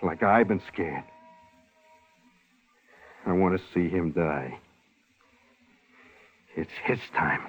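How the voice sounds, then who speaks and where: An actor playing a wounded, bitter escaped convict bent on revenge, in tough, brooding 1950s radio drama style. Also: male voice, low-pitched, animated, takes it slowly, long pauses, husky, heavily acted. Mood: brooding, menacing. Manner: tough, brooding gangster talk. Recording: muffled, worn 1950s radio recording.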